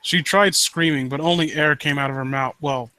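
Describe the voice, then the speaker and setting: A man casually riffing as a host who reads and mocks stories, sarcastic and quick to swear. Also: on the high side, steady tone, pushed and bright, no room sound. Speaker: host reading and critiquing horror stories live. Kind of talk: casual riffing commentary with read-aloud passages.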